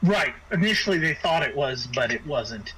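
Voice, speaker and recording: A man's voice: on the high side, lively intonation, moderate pace, pushed and bright, low-quality recording. A man running a casual online tabletop game as game master, explaining things in a hesitant, stop-start way. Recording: faint background noise.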